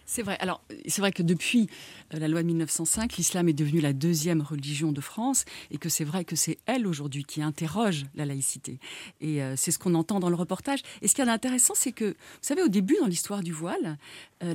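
A woman's voice: on the low side, mellow, husky, no room sound. A woman answering as a guest in a radio studio, explaining with emphasis and repeated phrases. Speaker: studio guest, TV channel content director. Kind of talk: explanatory live interview answers.

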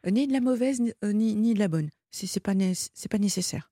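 A woman hosting a live radio call-in show in a conversational way, gently coaxing and attentive, speaking close to a studio microphone. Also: mid-range voice, expressive, brisk, breathy edge, no echo.

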